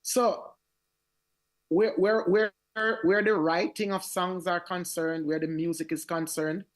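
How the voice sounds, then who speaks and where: A man with a Jamaican accent and a raspy voice, telling stories as a singer in a radio interview, animated and often repeating his words. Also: sits high, slow. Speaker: reggae singer, guest.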